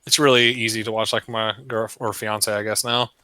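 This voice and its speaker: Crude-talking bright man in his thirties. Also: fairly low pitch, flat delivery, fairly quick, no room sound. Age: 30s. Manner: crude, loose slang, swears often. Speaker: friend on voice chat during a stream. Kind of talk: casual chat while gaming.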